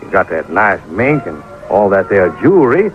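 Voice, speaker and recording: Bashful, low-voiced country boy, vintage radio. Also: very animated, normal speed. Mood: eager, shy. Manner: folksy, ungrammatical, bashful hesitations. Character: naive, drawling young oil-rich country boy, polite and bashful. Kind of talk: old-time radio detective drama acting. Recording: muffled vintage radio recording, music behind.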